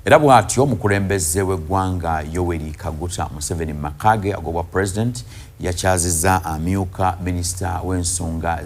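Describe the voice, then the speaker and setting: A man's voice: low-pitched, creaky, a little mellow, slight background noise. A man with a Ugandan accent reading scripted news reports as a reporter.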